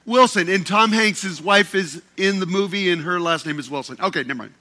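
Playful, joking man with a high, bright voice. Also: a little fast. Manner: playful, joking, often repeats and restarts words. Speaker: speaker addressing a group. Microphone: handheld microphone.

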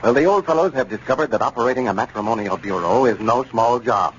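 A man's voice: mid-range voice, quick pace. An American man announcing on 1930s radio in a polished, emphatic, scripted delivery. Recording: muffled, noisy 1930s radio recording.

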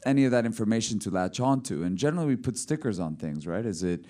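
A man's voice: on the low side, lively intonation, fast, mellow. A man from Colombia, a film director, answering questions at a screening and repeating words as he thinks. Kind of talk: post-screening Q and A.

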